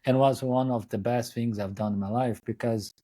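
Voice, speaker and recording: Mellow-voiced Brazilian man. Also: medium pitch, fairly even intonation. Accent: Brazilian Portuguese. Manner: unhurried, frequent fillers and restarts. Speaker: guest yoga teacher. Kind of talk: interview storytelling. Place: home, joining remotely.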